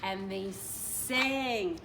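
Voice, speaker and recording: A woman reading a picture book aloud like a teacher, expressive, stretching and stressing her phrases for children. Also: medium pitch. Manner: expressive, drawn-out phrasing with emphasis. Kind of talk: animated children's storytelling. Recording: distant mic with clear background noise.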